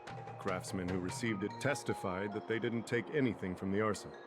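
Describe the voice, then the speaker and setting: A man's voice: fairly low pitch, fairly quick, lightly acted. A man voicing a composed, methodical, firm investigator in scripted video game dialogue. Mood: measured, explanatory. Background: game music.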